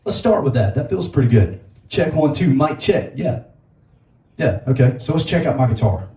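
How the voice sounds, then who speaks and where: A man with a low voice giving a casual tutorial, talking through settings as he adjusts them. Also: moderate pace. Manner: relaxed, talks while adjusting settings. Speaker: musician demonstrating gear.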